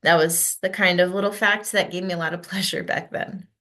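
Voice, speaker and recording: A woman playing a gentle, candid, formally spoken confidante in an informal script read-through. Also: lowish voice, fairly even intonation. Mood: reflective, candid. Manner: measured, literary phrasing.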